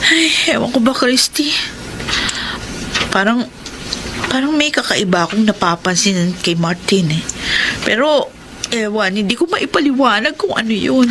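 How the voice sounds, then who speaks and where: A high-voiced, emotional young fiancée. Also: female voice, expressive, measured pace, pauses often, background noise. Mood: worried. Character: affectionate, emotional young fiancée, easily hurt. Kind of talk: Filipino radio drama acting.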